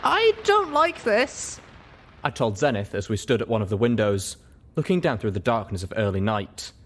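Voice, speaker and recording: A man reading first-person story narration as an audiobook, in an expressive, dramatic way. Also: on the low side, brisk. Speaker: audiobook reader.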